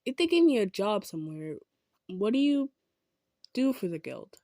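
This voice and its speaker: Kind female centaur, played by a woman: mid-pitched, modest, softly insistent, roleplay delivery straight to the listener, no room sound. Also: very animated, moderate pace, dry recording, studio quality. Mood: shy, hopeful. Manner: earnest, hesitant, gentle insisting. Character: kind, humble, self-effacing female centaur. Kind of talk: improvised audio roleplay addressed to the listener.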